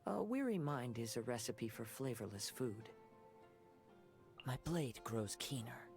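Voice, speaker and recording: A man playing a gentle, poetic young wandering samurai in a video game, with reflective, formal phrasing. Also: mid-range voice, expressive, gravelly, music in the background. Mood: calm, earnest. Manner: soft-spoken, reflective, unhurried formal phrasing. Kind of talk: scripted video game character voice lines.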